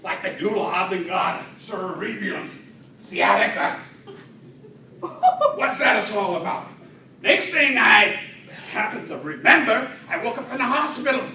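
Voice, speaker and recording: A man delivering a humorous tall-tale speech to an audience in a theatrical way, with dramatic pauses and swells. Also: high-pitched, bright, forceful tone. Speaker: speech contest storyteller at a Toastmasters club. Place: indoor room with an audience. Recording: roomy, distant mic, faint noise.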